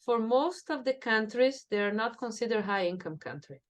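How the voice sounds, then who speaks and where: A woman's voice: fairly high pitch, animated, normal speed. A woman from Brazil with a Brazilian Portuguese accent, talking reflectively as a professor guest in an interview, often saying "you know". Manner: thoughtful, with frequent "you know" fillers. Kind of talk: conversational interview.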